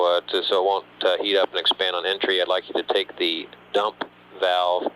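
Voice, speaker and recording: A man working as a mission control communicator, giving measured procedural instructions over the radio. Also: deep voice, fairly bright tone, poor audio. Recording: radio link with faint background hum.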